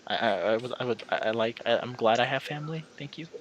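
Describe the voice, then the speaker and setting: A man's voice: medium pitch, quick pace. A man doing casual let's-play commentary as a channel host, rambling and repeating himself as he talks to his viewers.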